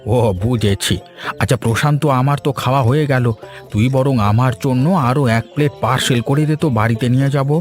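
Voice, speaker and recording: A man's voice: mid-range voice, fairly quick, soft background music, voice pushed down, natural voice. A man voicing a genial old village elder who talks in a familiar, teasing way, in a cartoon story. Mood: satisfied. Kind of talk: Bengali cartoon story voice acting.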